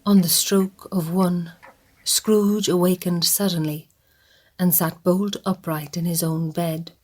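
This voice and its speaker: A woman narrating a radio play in a measured, husky, often half-whispered voice. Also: fairly low pitch, level tone. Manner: measured, hushed.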